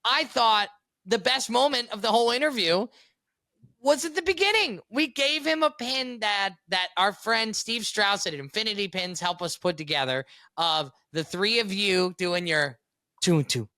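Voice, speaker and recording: Young male co-host, bright and lively, tenor-ish, close dry studio mic. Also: sits high, moderate pace, no echo. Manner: upbeat, animated storyteller. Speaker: podcast co-host. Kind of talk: casual chat.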